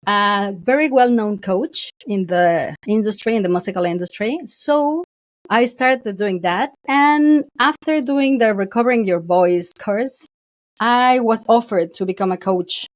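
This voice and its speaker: A woman's voice: mid-range voice, unhurried, no echo. A woman voice coach, a guest on a podcast, telling her story candidly. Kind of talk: interview.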